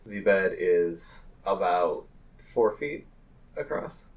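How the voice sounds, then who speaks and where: Man, game master, low pitch, unhurried pace, halting improvised narration. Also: expressive, rough recording.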